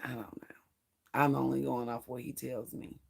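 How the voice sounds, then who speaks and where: A Bible study host with a hoarse, strained voice, reading scripture aloud and adding earnest spiritual commentary, stumbling now and then over hard names. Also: mid-range voice, level tone, normal speed. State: hoarse, losing voice.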